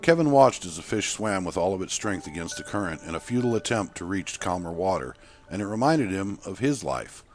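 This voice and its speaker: A man narrating a scripted short story, with music playing behind him. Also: low voice. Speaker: author and narrator.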